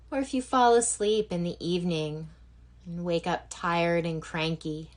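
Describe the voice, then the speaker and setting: A woman with a fairly low voice leads a guided meditation. She speaks very slowly and gently, leaving long pauses between phrases as she gives relaxation instructions. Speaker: yoga nidra instructor.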